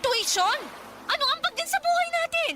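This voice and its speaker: Shrill, defiant Filipino wife. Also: female voice, animated, moderate pace, very noisy. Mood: angry, defiant. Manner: sarcastic, confrontational, shrill. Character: defiant, spendthrift, hot-tempered wife. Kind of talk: radio drama acting.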